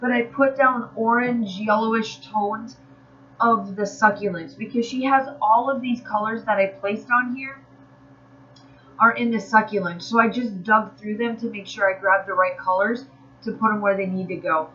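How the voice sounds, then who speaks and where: A woman's voice: mid-range voice, steady tone, normal speed, fairly bright tone, highs cut off. A woman hosting a crafting channel, chatting casually in a voice-over while she walks through her work, a little nasal from allergies and rambling with fillers and restarts. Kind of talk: casual voice-over narrating a crafting process video.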